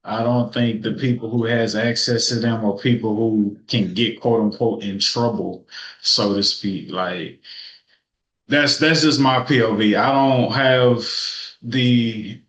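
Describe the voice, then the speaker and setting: A man's voice: fairly low pitch, slow. Male podcast co-host, laid-back and thoughtful, roomy echoey sound. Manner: relaxed, reflective, argues his view with hedges. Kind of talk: casual podcast discussion.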